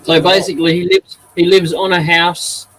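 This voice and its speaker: Australian man with a higher-pitched voice. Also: flat delivery, moderate pace, a bit bright, rough recording. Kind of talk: casual chat.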